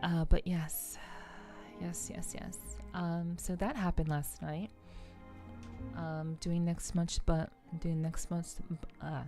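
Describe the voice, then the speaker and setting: A soft-spoken woman streamer chatting casually and unhurriedly with her live viewers in an ASMR style, rambling a little hesitantly. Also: sits low. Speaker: livestreamer, VTuber host. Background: faint music.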